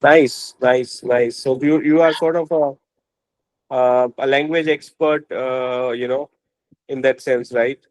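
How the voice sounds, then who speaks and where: A man, a podcast guest, talking in a hesitant, halting way full of fillers. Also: medium pitch, fairly even intonation, no echo, poor audio. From India. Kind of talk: casual interview talk.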